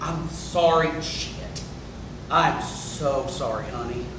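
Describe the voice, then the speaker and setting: An actor reading a proud, volatile, embittered working-class man in a stage drama, agitated, halting and profane. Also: a little high, a bit bright, far from the mic. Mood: ashamed. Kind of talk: theatrical table reading. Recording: very echoey room from afar, faint noise.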